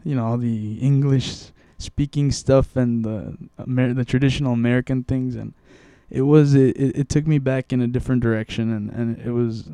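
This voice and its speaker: A man's voice: medium pitch, fairly even intonation, normal speed, hardly any pauses, warm tone, smooth, no room sound. A young man from Mexico, telling his story in a casual interview, rambling with many restarts and fillers. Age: young adult.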